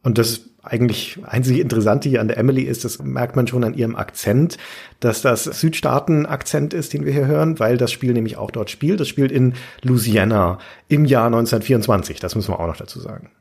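A man's voice: medium pitch, brisk, creaky, a little mellow, husky. A man hosting a podcast, discussing video games analytically and explaining in long, articulate sentences. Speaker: podcast host.

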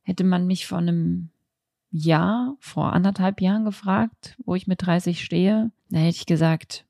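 Low, creaky woman of thirty. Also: a little slow, dark tone, no room sound, clean studio sound. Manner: reflective, candid. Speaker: podcast host. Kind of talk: unscripted personal monologue. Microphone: handheld microphone, close.

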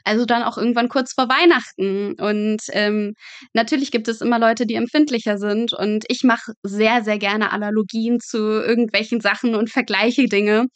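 Young woman, fairly high voice, dry close sound, chatty, casual storytelling. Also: steady tone, normal speed, dry recording. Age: young adult. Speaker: interview guest, medical student.

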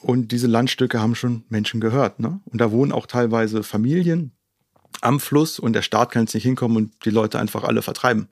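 A man from Germany with a mid-pitched voice talks as an interview guest. He explains step by step and in detail, often adding little confirmation tags. The sound is dry, with very little room. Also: brisk, dry recording. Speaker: conservation organisation co-founder, interview guest.

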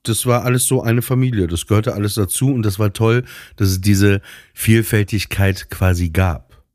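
A man co-hosting a podcast, chatting casually in a halting, rambling way and often interrupting himself. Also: fairly low pitch, steady tone, a little mellow, no echo, clean studio sound. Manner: halting, stumbling, self-interrupting. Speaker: podcast co-host.

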